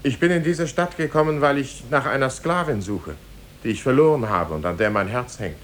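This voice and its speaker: An actor playing a humble, lovesick young merchant's son, earnest and deferential, in a 1950s German radio play. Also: male voice, a little fast, lightly acted. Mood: fervent, pleading. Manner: deferential, solemn, fervent. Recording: 1950s radio recording with faint rumble.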